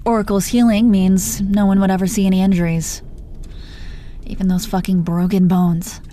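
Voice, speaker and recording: A woman playing a menacing yet tender, possessive supervillain in an intimate audio roleplay, speaking in a sultry, deliberate way with pet names for the listener. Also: medium pitch, level tone, mellow, lightly acted. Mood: protective anger.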